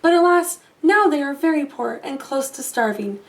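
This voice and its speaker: A woman's voice: high-pitched, lively intonation, moderate pace, recorded from a distance. A woman voicing a kindly, grave old woman in a storybook, speaking earnestly.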